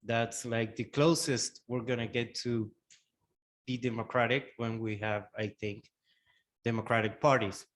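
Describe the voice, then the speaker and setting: A man's voice: mid-range voice, steady tone, unhurried, a bit bright, slight background noise. A man from Argentina in the audience, asking a question hesitantly and searching for words.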